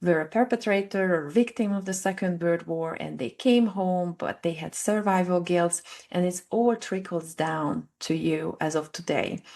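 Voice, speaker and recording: A woman with a Hungarian accent speaks in a somewhat low, gravelly voice. She explains things steadily, pausing now and then to find the word, in a relaxed podcast conversation. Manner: explanatory, measured, with occasional hesitations. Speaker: guest, family constellation facilitator.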